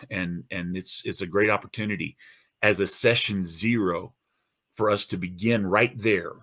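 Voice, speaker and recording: A man hosting his own podcast in a casual, earnest and encouraging monologue, often restarting his phrases. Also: fairly bright tone. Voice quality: deep radio-style voice. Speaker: host.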